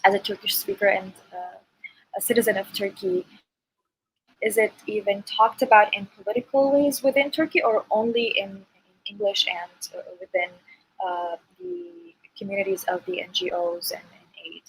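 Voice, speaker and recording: A woman's voice: mid-range voice, normal speed, a little hiss or hum, low-quality recording. A woman moderating a webinar panel over a video call, putting questions to speakers hesitantly.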